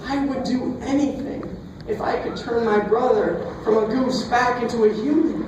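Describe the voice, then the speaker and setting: Man playing an innocent high-voiced fairy-tale daughter. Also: moderate pace, dull audio. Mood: earnest, upset. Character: earnest, inquisitive young fairy-tale daughter. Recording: echoey, distant, noisy live recording.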